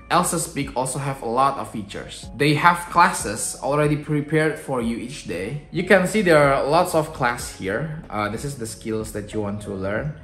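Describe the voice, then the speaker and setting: A man's voice: mid-range voice, expressive, moderate pace, hardly any pauses, fairly bright tone, faint music behind. Young man, echoey room. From Indonesia. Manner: chatty, explains step by step, switches between English and Indonesian. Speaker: YouTuber and English tutor. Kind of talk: casual teaching vlog.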